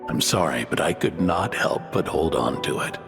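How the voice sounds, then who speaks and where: A man playing a gentle, affectionate, formal older guardian in a video game dub, reading tenderly and in measured phrases. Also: very deep voice, animated, creaky, in a large hall, music in the background, full character voice. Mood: gently apologetic. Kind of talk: English video game dub, letter read in voice-over.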